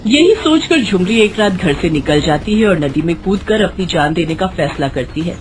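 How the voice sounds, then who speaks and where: A woman narrating a scripted story in an expressive storytelling style. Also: on the low side, normal speed, a little hiss or hum, low-quality recording. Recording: music behind the voice.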